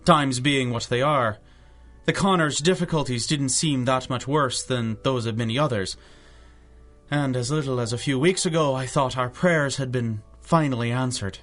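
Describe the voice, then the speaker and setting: An actor playing an earnest, compassionate parish priest in a radio drama. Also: male voice, medium pitch, a bit bright, close to an everyday voice. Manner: earnest, concerned, storytelling.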